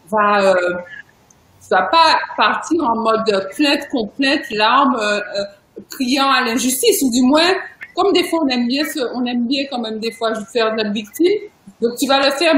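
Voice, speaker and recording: A chatty woman hosts a relaxed interview, speaking with emphasis and a ready laugh. Her voice sits high, and the room around her rings with echo. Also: slight background noise. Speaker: interview host. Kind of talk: casual video interview chat.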